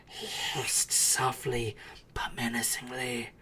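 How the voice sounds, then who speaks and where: A man's voice: mid-range voice, level tone, takes it slowly, pushed and bright, slightly rough. A man reading a storybook aloud as a dramatic narrator, with theatrical, suspenseful delivery. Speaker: audiobook reader.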